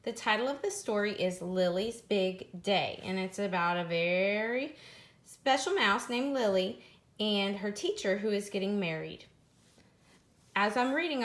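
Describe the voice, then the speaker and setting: A woman narrating a children's picture book aloud in a deliberate, expressive, teacher-like way. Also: medium pitch.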